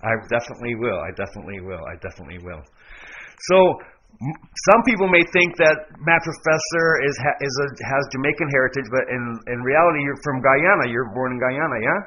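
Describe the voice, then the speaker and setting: A man hosting a radio interview, talking eagerly with many quick affirmations and restarts. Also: mid-range voice, animated, bright, forceful tone, highs cut off. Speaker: radio host, DJ. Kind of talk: casual interview chat.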